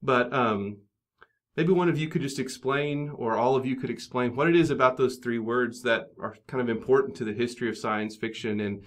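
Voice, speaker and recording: A man's voice: mid-range voice, moderate pace. An American man with a wry, dryly joking manner, hosting a podcast round table and introducing guests. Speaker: podcast host.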